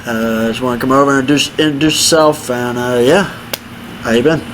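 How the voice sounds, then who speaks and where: A man's voice: medium pitch, fairly even intonation, fairly quick, a bit bright, a little hiss or hum. A man hosting a sports podcast in an upbeat, teasing way, often repeating himself. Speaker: podcast host.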